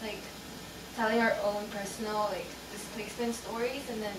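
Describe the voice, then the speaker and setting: A woman's voice: medium pitch, flat delivery, normal speed. A young woman from the Philippines sharing her story in a casual group discussion, talking with lots of fillers. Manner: chatty, many fillers. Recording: roomy, distant mic, noisy.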